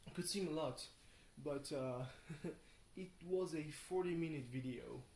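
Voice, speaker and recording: A tired young man rambles casually through a video diary, halting and thinking aloud, often questioning himself. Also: a little high, darkish tone. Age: young adult. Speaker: video blogger. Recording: roomy echo, faint background noise.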